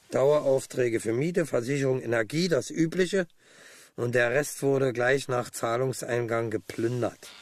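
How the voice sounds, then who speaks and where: A man's voice: mid-range voice, no echo, natural voice. A man playing an eager, sardonic police detective in a naturalistic radio crime drama, matter-of-fact and wry. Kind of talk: naturalistic German radio play acting.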